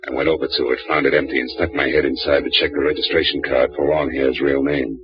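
Deep-voiced wisecracking hard-boiled detective. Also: male voice, level tone, fast talker. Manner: dry, wisecracking, clipped delivery. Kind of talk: 1940s radio drama narration. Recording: muffled old radio broadcast.

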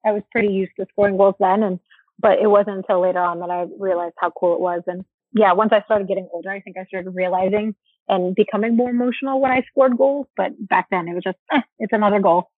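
A young adult woman from the United States, talking casually as an interview guest, relaxed and chatty with many fillers and restarts. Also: medium pitch, fast talker, dry recording. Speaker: interview guest, former soccer player. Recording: dry tinny phone-like line.

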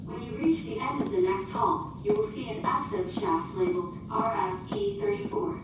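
Echoing high-pitched female ship computer. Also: sing-song, moderate pace, music in the background. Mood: calm, instructive. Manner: formal, precise, literal, emotionless phrasing. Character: female ship computer, literal, formal, unflappable. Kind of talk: science fiction audio drama acting. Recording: very echoey, distant, noisy ambience.

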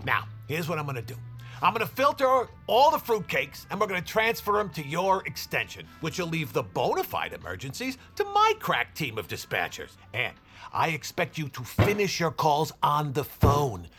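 A man's voice: fairly high pitch, wildly swooping pitch, moderate pace, a bit bright, faint music behind, full character voice. An actor playing a pompous, exasperated male call-center supervisor with a self-important, officious manner in an audio drama. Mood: stern, self-important.

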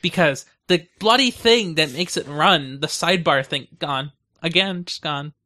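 A man's voice: a little high, measured pace, bright, forceful tone. A man co-hosting a podcast in casual banter with a dry, sardonic manner. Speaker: podcast host.

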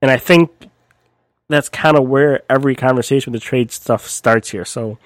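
A man co-hosting a casual sports podcast, a little raspy from a cold, talking in a rambling way with lots of fillers. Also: mid-range voice, normal speed, no room sound, studio quality. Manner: rambling, many fillers and restarts. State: recovering from a cold, slightly raspy. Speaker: podcast co-host.

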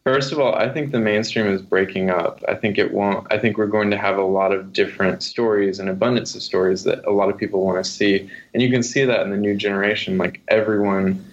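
A man's voice: fairly low pitch, steady tone, fairly quick, hardly any pauses. A man speaking conversationally as a guest author being interviewed, on a slightly dull recording.

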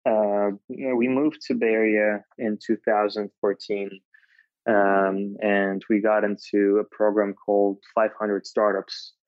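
A man, a startup founder answering interview questions in a halting way, with many filler sounds. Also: fairly low pitch, level tone, no room sound, dull audio. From Russia.

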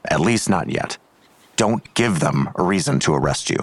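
A man's voice: very deep voice, lively intonation, moderate pace, slightly rough, no room sound, full character voice. A man playing a weary, grudging police detective in an audio drama, curt and sardonic in manner. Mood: curt, scornful.

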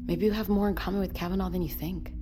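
Actress as a low-voiced, earnest, determined woman. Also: steady tone, fast talker, mellow, close to an everyday voice. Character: earnest, determined expectant mother aboard expedition ship. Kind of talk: naturalistic audio drama acting.

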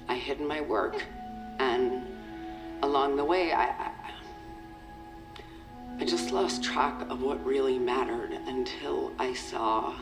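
A woman playing a regretful boss in an audio drama, leaving a halting, earnest confidential message. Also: rumbling low, roomy sound, heavily acted, poor audio. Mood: grieving, halting. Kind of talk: audio fiction acting, recorded farewell message.

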